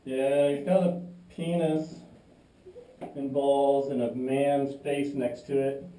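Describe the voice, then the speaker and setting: Guy with a dark voice, brief dry replies, far off in an echoey cave. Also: mid-range voice, level tone, slow. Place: tight underground cave.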